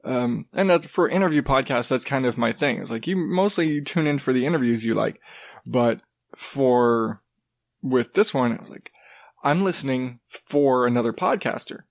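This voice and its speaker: A man hosting his own podcast, rambling casually with restarts and the odd swear, in a rather deep voice while coming down with a cold. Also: animated, moderate pace, gravelly, no room sound. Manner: rambling, loose, with swearing and self-interrupting restarts. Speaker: podcast host. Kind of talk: casual solo podcast talk.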